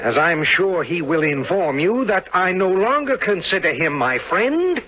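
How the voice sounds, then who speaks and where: A man's voice: high voice, very animated, measured pace. An actor playing an imperious, irritable wealthy man in a radio drama, curt, formal and accusing. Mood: cold, haughty. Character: imperious, irritable man, wealthy painter guarding his privacy. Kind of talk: 1970s radio drama acting. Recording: dull, hissy old radio drama recording.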